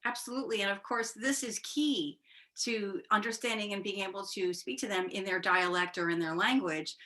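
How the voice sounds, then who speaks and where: A woman hosting an interview, with a bright and crisp voice. She sounds enthusiastic and affirming, asking her guest curious follow-up questions. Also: mid-range voice, moderate pace. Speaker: show host. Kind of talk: interview conversation.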